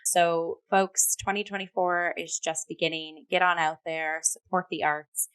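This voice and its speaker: A woman co-hosting a theatre review show, talking casually in an enthusiastic, expressive way. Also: fairly low pitch, a little slow, clean tone, rough recording. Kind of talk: casual chatty review talk.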